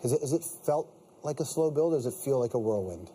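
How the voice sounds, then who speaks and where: A man hosting a talk show, asking an actor questions in a quick, playful way. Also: medium pitch, animated, warm tone, slight background noise.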